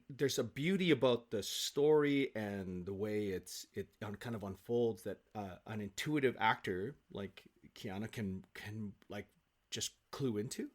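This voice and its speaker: A man speaking as an actor guest in a casual podcast conversation, effusive and animated in his storytelling. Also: mid-range voice.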